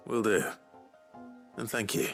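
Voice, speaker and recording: A man playing a gruff, terse but courteous swordsman in a video game, with a low gravelly voice. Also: takes it slowly, a bit bright. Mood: calm, terse. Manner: terse, understated. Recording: game music under the voice.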